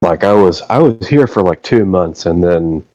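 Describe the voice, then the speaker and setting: A man from the United States, a guest on a casual phone call, talking in a hesitant, halting way. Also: deep voice, expressive, mellow, dry recording.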